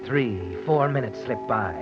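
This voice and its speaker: Sinister, knowing mystery storyteller, played by a male actor, dramatic, muffled 1940s radio with loud music behind. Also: medium pitch, lively intonation, measured pace, lightly acted. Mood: ominous, knowing. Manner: dramatic, ominous. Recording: dull lo-fi old radio recording.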